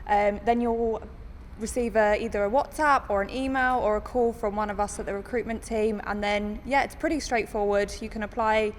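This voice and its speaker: A woman recruiter answers questions in a casual, breezy way at a middle pitch. A long reverb rings behind her voice. Faint room ambience sits underneath. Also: fairly even intonation, normal speed, slight background noise.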